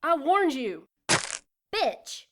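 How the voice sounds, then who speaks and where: A woman's voice: high-pitched, sing-song, very slow, noisy background, heavily acted. A woman playing a frightened but defiant pregnant young woman in an audio drama, emotional, naive and protective.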